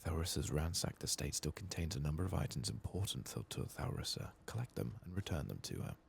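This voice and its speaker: A man doing a soft-spoken ASMR game playthrough, murmuring and often slipping into a whisper. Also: extremely low pitch, big pitch swings, a little fast, gravelly, slight background noise. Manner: murmuring, drifting between soft speech and whisper. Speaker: ASMR gaming streamer.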